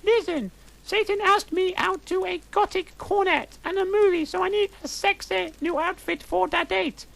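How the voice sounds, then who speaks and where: A man voicing a melodramatic gothic teenage girl in a high falsetto, with a gushing, breathless delivery. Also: normal speed, creaky, fairly bright tone, exaggerated voice. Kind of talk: comic dramatic reading.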